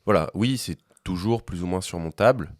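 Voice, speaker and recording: A man's voice: low voice, normal speed, no room sound, clean studio sound. A man with a head cold chatting casually as a podcast co-host, hesitant and full of fillers and restarts. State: has a cold. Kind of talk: casual chat over a meal.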